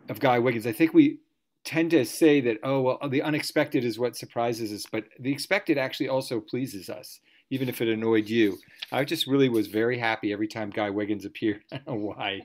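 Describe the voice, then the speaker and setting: A man serves as MC of a virtual storytelling event, talking over a video call. He speaks off the cuff in long, playful sentences, with a mock-grand turn of phrase and a jokey touch. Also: mid-range voice. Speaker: host and MC of a story slam.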